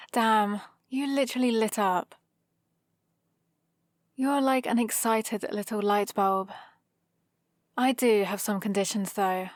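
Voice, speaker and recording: A British woman playing a proud, scheming supervillain with a soft spot, in a teasing, intimate ASMR roleplay. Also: fairly high pitch, no echo, clean studio sound. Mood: amused, teasing. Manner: teasing, sardonic, casually conversational. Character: proud scheming female supervillain, gruffly caring for a rescued hero.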